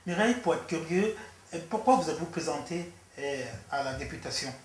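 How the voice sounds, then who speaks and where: A man's voice: a little high, animated, fairly quick. A man interviewing a guest, asking questions hesitantly with many fillers. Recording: echoey room with faint noise.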